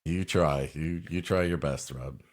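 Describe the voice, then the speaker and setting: A man's voice: rumbling low, unhurried. A man co-hosting a comedy podcast, cutting in with dry wisecracking asides. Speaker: co-host.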